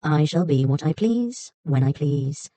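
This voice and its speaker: A woman's voice: deep voice, lively intonation, measured pace, mellow, no room sound. A woman voicing a sly fox spirit in a storybook, sweet and coaxing at first, haughty and stern later.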